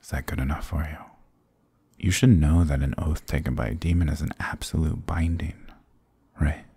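Casting: male actor for an arrogant demon king in ASMR roleplay, extremely low, dark mellow voice, sardonic and condescending. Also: fairly even intonation, moderate pace, husky, dry recording, heavily acted. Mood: taunting. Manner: sardonic, taunting, condescending, theatrical.

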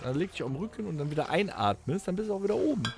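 A man's voice: high-pitched, very animated, very fast, creaky, darkish tone, slight background noise. A man co-hosting a comedic improvised audio play, tossing in dry, mocking remarks. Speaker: podcast co-host.

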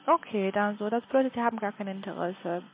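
A woman with a foreign accent in German making a polite, halting sales call as a non-native speaker. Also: medium pitch, slight background noise. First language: not German. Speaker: telemarketing caller for a trading firm. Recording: tinny phone line.

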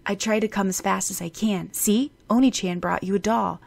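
A woman voicing a seven-year-old boy who is protective of his twin, speaking in a gentle, reassuring way. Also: mid-range voice, steady tone, moderate pace, creaky.

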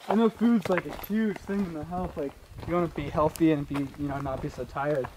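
A young man with a fairly high voice talks casually and bluntly, full of slang. He is outdoors on a trail, picked up by a camera mic with plenty of noise. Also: brisk. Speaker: hiking companion. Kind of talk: casual chat. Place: outdoors on a forest mountain trail. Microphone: handheld camera microphone. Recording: noisy outdoor camera audio, handling clicks.

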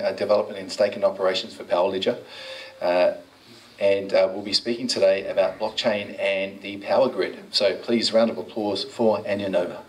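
A man with a New Zealand accent introducing speakers as event host, hurried and stumbling over names. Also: lowish voice, far from the mic, a little hiss or hum, poor audio.

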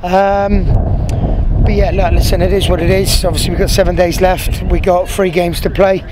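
English football manager in his 30s, pitchside post-match chat, blunt and repetitive, outdoor noise audible. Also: level tone, moderate pace. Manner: frank, repeats himself, many restarts. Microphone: handheld interviewer mic, medium framing. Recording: outdoor noise and passing traffic.

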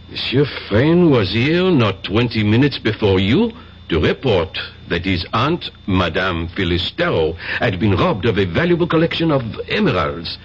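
An actor playing a sceptical French police inspector in a radio drama, speaking formal, halting English with a French accent. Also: male voice, fairly low pitch. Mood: musing, official. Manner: halting, searching for English words. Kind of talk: 1970s radio drama acting. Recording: dull, noisy old radio recording.

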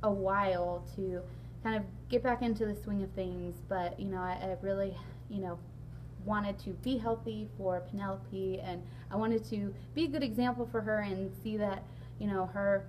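Hoarse raspy young woman hosting a vlog. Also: mid-range voice, fairly even intonation, normal speed, a little mellow, a little hiss or hum, rough recording. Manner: chatty, frequent ums, laughs along. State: hoarse, lost voice. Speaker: vlog host. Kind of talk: casual sit-down interview. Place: large indoor hall.

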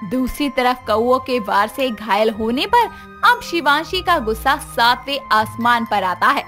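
A woman narrates a dramatic scripted story in a very high, highly animated voice. She takes it slowly, with music underneath.

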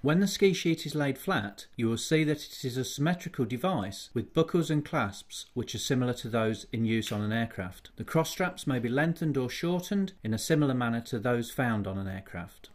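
A man delivers a scripted instructional voice-over for staff training. His voice has a slightly husky edge. He moves through each step in a measured, formal way. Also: mid-range voice. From United Kingdom. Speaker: training video narrator.

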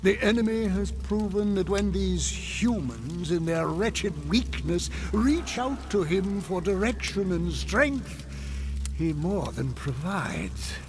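An actor playing a gloating senior demon in a radio drama, speaking in a deliberate, sinister, grandiose way. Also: male voice, high voice, animated, background noise. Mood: stern, scheming. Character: gloating senior demon, scheming mentor to a junior.

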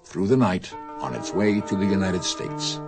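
A man's voice: deep voice, lively intonation, moderate pace, music in the background. A man narrating a radio drama in a dramatic, scripted style.

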